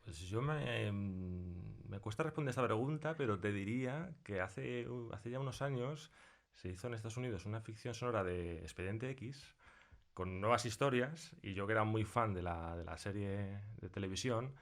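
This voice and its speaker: Male sound designer type, accent of Spain, fairly low voice, conversational and explanatory. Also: normal speed. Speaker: sound designer, interview guest. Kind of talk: casual explanatory interview talk.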